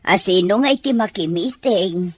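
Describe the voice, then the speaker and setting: A woman's voice: mid-range voice, expressive, moderate pace, a little mellow, husky. A woman acting a caring, worried older mother and grandmother in an audio drama. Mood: concerned.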